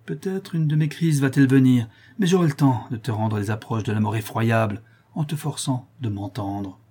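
A husky, darkly tinted voice of a blind old killer, as a male reader puts him on. He broods in an intense, hushed monologue, threat and torment in every phrase. A classic storybook villain, heard in audiobook form. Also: medium pitch, normal speed, natural voice. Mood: vengeful. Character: blind brutal old male murderer, haunted and vengeful. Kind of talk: audiobook reading of a classic novel.

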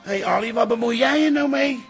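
An actor playing an excitable, blustering old father in a Dutch radio play, grumbling yet soft-hearted. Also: extremely high pitch, brisk, soft background music. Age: older. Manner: blustering, exclaiming.

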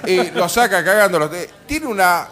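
Higher-pitched Argentine man, wry storyteller. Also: lively intonation, moderate pace, sharp tone. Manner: colloquial, swearing, wry storyteller. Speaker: radio show host. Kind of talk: humorous casual radio banter. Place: live radio broadcast from a bar.

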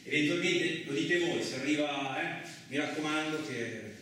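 Swaggering trucker in an echoey room. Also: male voice, fairly high pitch, moderate pace, sharp tone. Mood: boastful, confiding. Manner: colloquial, muttering asides, swaggering. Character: boastful, hot-tempered, rough-talking Italian truck driver. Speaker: actor. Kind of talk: theatrical radio monologue. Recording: very echoey, distant, lightly noisy room.

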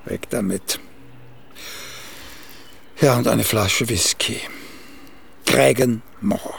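A man's voice: mid-range voice, drawn-out words, creaky, fairly bright tone, husky, exaggerated voice. An actor playing a self-absorbed, opinionated old writer in a radio drama, grumbling and pompous as he makes his demands. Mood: imperious. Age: older. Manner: grumbling, pompous, demanding, full of little interjections. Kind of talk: theatrical radio drama dialogue.

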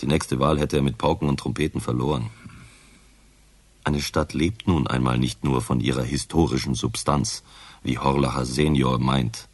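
Radio crime role: dismissive senior official, extremely low voice, close dry sound, brusque and edgy. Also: male voice, steady tone, normal speed, no room sound. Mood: disdainful. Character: nervous, disdainful senior town official with a doctorate. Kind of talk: radio crime drama acting.

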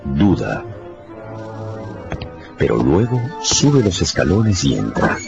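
A male narrator with a low voice tells a scripted radio story. His delivery is dramatic and lively in intonation. Music plays behind him. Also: slow pace, gravelly, highs cut off.

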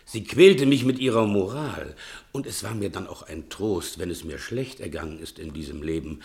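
An actor playing a fat, self-pitying servant who fancies himself a playwright, melodramatic and wheedling, in a 1960s German radio play. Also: male voice, low voice, moderate pace, vocal fry. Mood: brooding, self-pitying. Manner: melodramatic, wheedling, swinging from hushed to declaiming.

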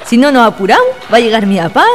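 Actress as a high, animated young lady. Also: rapid-fire, faint music behind, close to an everyday voice. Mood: impatient. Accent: Chilean. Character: impatient young village lady being courted. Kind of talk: radio drama acting.

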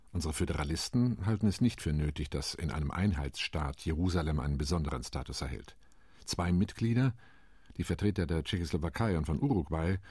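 Man voicing a committee delegate, very low voice, breathy, measured, radio drama. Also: a little mellow, dry recording, studio quality, heavily acted. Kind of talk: radio play monologue.

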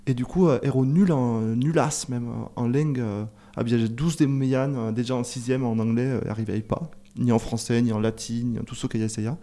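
A man in a casual radio discussion, talking in a hesitant, halting way with many fillers. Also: medium pitch, fairly even intonation, mellow. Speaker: radio show guest.

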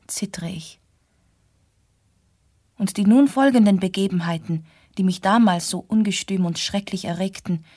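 Actress playing haunted earnest young woman, gothic radio play, soft breathy voice, really dry sound. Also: mid-range voice, dry recording, close to an everyday voice. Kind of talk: radio drama narration and dialogue.

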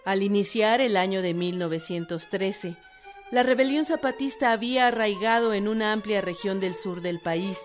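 A woman narrates a historical radio production from a script. Her pitch is middling, and music plays clearly behind her. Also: moderate pace.

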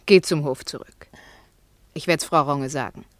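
A woman's voice: deep voice, a little slow, a crowd in the background. An actress playing a kindly woman soothing a child in a German radio play, with simple, comforting speech.

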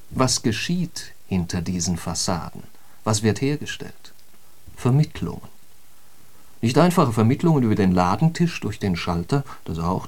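An actor playing a courteous philosopher-lecturer in a literary radio play, politely didactic and fond of rhetorical questions. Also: male voice, fairly low pitch, a little mellow, lightly acted. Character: courteous male philosopher-lecturer, eagerly dissecting riddles.

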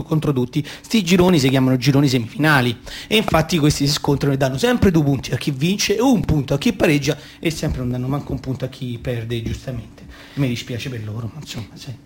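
A man's voice: mid-range voice, breathy edge, natural voice. A man playing a naive, football-mad little boy in a comic radio play, rambling and stumbling in a colloquial Roman accent. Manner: colloquial, stumbling, self-correcting. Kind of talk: comic radio theatre monologue.

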